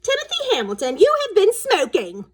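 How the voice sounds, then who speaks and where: A woman's voice: very high-pitched, wildly swooping pitch, moderate pace, voice pushed up, exaggerated voice. A woman voicing a stern, scolding old schoolmistress in a children's storybook. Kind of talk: children's storybook read-aloud.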